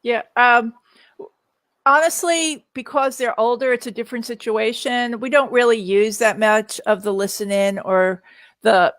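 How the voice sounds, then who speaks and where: A woman's voice: fairly high pitch, unhurried, a bit bright, no room sound, clean studio sound. A middle-aged woman answering questions in a casual live Q&A, speaking in an earnest, reassuring way. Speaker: guest answering viewer questions.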